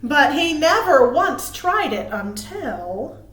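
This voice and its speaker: A high-voiced woman reads a children's story in a roomy, echoing space. She works as a storytime librarian, giving words dramatic emphasis and speaking expressively to her young listeners. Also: very animated, takes it slowly, fairly bright tone. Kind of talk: animated read-aloud to young children.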